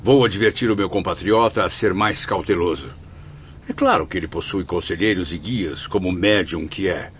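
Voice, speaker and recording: A man's voice: sits low, moderate pace, a little hiss or hum, lightly acted. An actor playing a sincere, emotional spirit of a Portuguese man in a radio drama, with formal, theatrical diction. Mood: earnest. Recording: dull radio recording with music behind.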